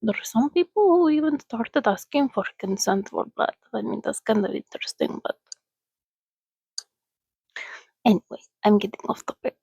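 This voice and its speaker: A woman voicing a soothing, centuries-old, well-mannered vampire in a soft-spoken ASMR roleplay, chatting gently and often dropping to a whisper. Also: a little high, animated, gravelly, husky, dry recording, heavily acted. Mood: gently reassuring. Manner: unhurried, gentle, chatty, reassuring.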